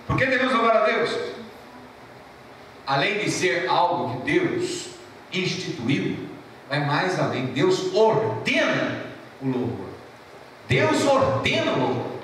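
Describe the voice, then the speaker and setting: A Brazilian pastor preaches, his voice high and swinging widely as he stresses and repeats key phrases. He sounds distant from the mic, with heavy church echo and faint room hum behind him. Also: takes it slowly, pauses often. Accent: Brazilian Portuguese. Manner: storytelling, animated rises and drops. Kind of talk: sermon. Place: church hall. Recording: echoey church from far off.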